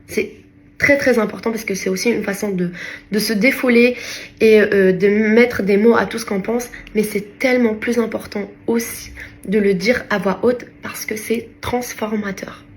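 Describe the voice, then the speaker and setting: A woman's voice: mid-range voice, fairly even intonation, normal speed, reverberant. A woman who is not a native French speaker, talking casually to her followers in a vlog and searching for her words in French. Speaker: lifestyle influencer.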